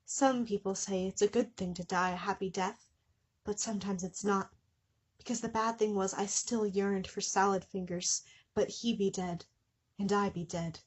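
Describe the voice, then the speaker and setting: A woman's voice: mid-range voice, fairly even intonation, moderate pace, slightly rough. A woman hosting a comedy show, reading fan fiction dramatically with incredulous, giggly asides. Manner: breaks into giggles and incredulous commentary.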